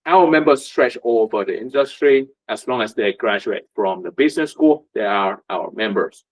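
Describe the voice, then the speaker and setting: Low-voiced man. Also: moderate pace. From Vietnam. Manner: conversational, many rhetorical questions and fillers. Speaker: union representative. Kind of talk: presentation at a meetup. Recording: muffled lo-fi recording.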